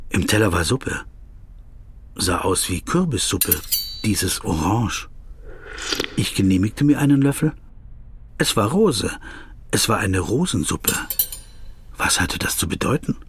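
A man's voice: on the low side, lively intonation, takes it slowly, long pauses, a little hiss or hum. An actor playing a stocky, fussy legal counsel who recounts a surreal trip in literary first person, savoring his words. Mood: puzzled, wondering. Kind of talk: literary first-person narration in a radio play.

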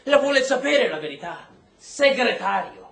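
A man performs an embittered army colonel in a radio drama, sardonic and quick to flare up. His voice is bright and forceful with lively, swinging pitch, heard in an echoey room. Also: vocal fry, heavily acted. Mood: bitter, indignant. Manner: sardonic, mock-polite, prone to flaring up. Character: embittered, volatile male army colonel running a prison camp. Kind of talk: stage-style radio drama acting.

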